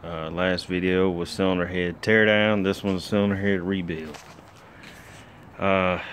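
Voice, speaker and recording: Slow, deep-voiced man explaining his workbench. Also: slight background noise. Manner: plainspoken, rambling with many fillers. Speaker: home mechanic filming a rebuild. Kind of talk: casual how-to commentary. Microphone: handheld phone camera.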